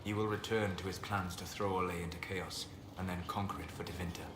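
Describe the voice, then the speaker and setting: An actor playing a calm, reserved elven mage advisor in a video game, speaking in measured, formal phrases. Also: male voice, sits low, natural voice. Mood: grave, analytical. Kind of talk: video game dialogue acting. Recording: roomy echo, clear background noise.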